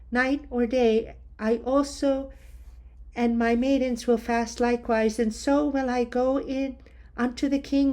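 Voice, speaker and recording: A woman's voice: high-pitched, a little mellow, voice pushed up. A woman voicing an earnest young queen in a scripture reading, her voice raised and heightened. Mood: urgent resolve.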